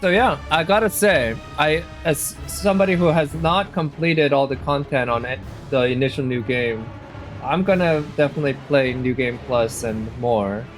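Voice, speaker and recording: A man giving casual, unhurried live commentary as a game streamer, musing aloud as he goes. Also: mid-range voice. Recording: game music behind the voice. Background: game music.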